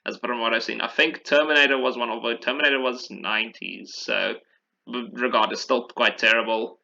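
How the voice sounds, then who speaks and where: Man, bright forward voice, informal enthusiastic podcast host, casual chat, slightly muffled lo-fi audio. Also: medium pitch, fairly even intonation, brisk, creaky. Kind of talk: casual chat about video games.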